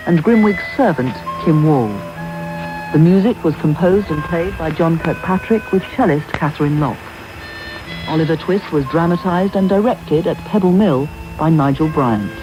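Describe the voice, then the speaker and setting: A male continuity announcer reads scripted broadcast credits. His voice sits a little high, with music clearly behind it. The sound is the muffled one of a medium-wave radio recording. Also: normal speed.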